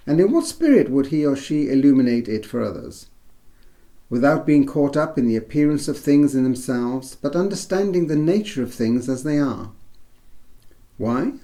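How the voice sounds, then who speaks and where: A man reading Buddhist scripture with brief explanation, in a measured, solemn storytelling way. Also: medium pitch, warm tone.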